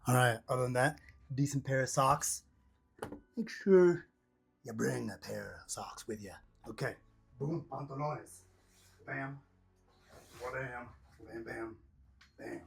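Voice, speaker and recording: A man with an average-pitched voice chats casually to camera as he gets dressed at home. His talk is slangy and jokey, broken into short bursts with punchy exclamations and catchphrases. Faint music plays behind him. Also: slow, gravelly, long pauses. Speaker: vlogger demonstrating his cycling rain gear. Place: indoors at home.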